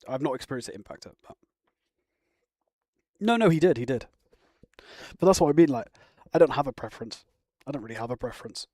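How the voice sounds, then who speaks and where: A man's voice: mid-range voice, animated, fairly quick, long pauses, mellow, no room sound, clean studio sound. A man chatting casually on a live stream, rambling and answering viewer comments. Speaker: live streamer reacting to chat. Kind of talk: casual chat.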